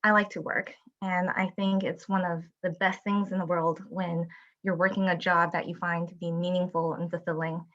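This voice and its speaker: A middle-aged woman from Taiwan, a company founder giving an earnest, measured presentation with frequent ums over a video call. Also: lowish voice, flat delivery, a bit bright, muffled recording. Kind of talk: prepared presentation.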